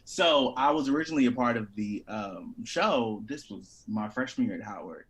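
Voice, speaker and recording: A man in his twenties with a mid-pitched voice speaks in casual conversation. He sounds like a young theater performer, animated and informal, with a playful manner. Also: normal speed. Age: 20s. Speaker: actor and theater artist, guest.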